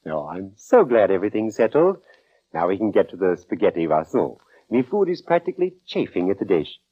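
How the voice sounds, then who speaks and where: An actor playing a fussy, effusive gourmet friend in 1940s radio drama style, with an arch, flowery manner. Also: male voice, sits low, big pitch swings, a little fast, slightly rough, heavily acted. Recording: dull vintage radio recording.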